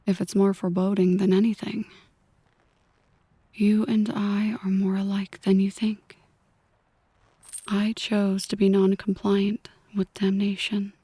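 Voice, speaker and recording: Girl doing a possessive mafia boss lady for asmr roleplay, slow breathy whispering, dark mellow tone, softly menacing. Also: mid-range voice, monotone, no room sound. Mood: quietly menacing. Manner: slow, deliberate, intimate address to one listener.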